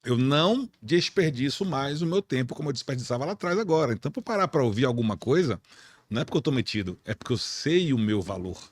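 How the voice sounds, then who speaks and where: Podcast host, male, Bahian Brazilian accent, rapid and blunt, slangy street talk. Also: mid-range voice, animated, no echo. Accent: Bahian Brazilian Portuguese. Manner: blunt, slangy, emphatic, peppered with swearing. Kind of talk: informal podcast conversation.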